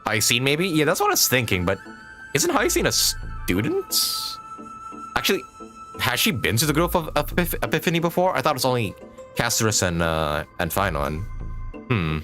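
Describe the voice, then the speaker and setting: A man's voice: medium pitch, sing-song, moderate pace, bright, forceful tone, soft background music. A man live-streaming a game, chatting casually with viewers in a joking, musing way.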